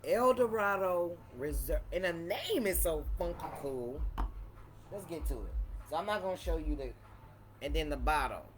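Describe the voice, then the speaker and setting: A woman with a deep voice chatting casually and playfully in a wine tasting vlog, repeating phrases for emphasis. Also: animated, normal speed, a little hiss or hum. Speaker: vlogger reviewing wine.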